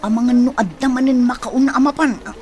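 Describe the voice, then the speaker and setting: Actress doing a high-voiced grumbling Ilocano woman. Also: brisk, darkish tone, slight background noise, natural voice. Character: vain, grumbling woman traveller. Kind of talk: Ilocano radio comedy-drama acting.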